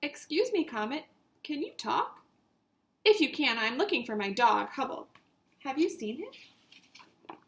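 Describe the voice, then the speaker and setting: Woman playing an eager, high-voiced little storybook boy. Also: very animated, measured pace, creaky, long pauses, roomy sound, heavily acted. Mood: polite, hopeful. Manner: exclaiming, earnest, wide-eyed.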